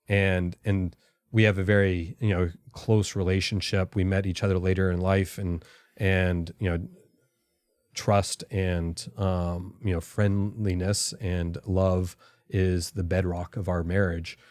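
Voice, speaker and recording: A middle-aged man, a podcast guest and former intelligence officer, answering in a careful, halting, reflective way. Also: low-pitched, little pitch movement, darkish tone, no room sound.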